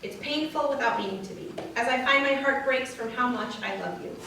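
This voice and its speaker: A woman with a fairly high voice gives a staged romantic reading. The sound is very reverberant and distant from the microphone. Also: a little fast, bright, forceful tone. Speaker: wedding reader. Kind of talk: reading love letters aloud, reader's theater. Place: large echoey room at a wedding. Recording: echoey hall, distant mic, faint noise.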